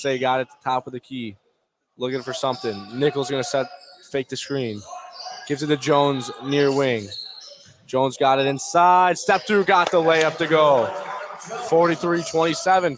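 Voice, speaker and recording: A man doing live play-by-play radio commentary of a high school basketball game in clipped running phrases. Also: noisy background.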